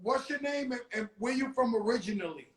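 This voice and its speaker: A man's voice: extremely high pitch, animated, a little fast, reverberant. A man with a New York accent hosting a live stream, talking casually in street slang with repeated exclamations.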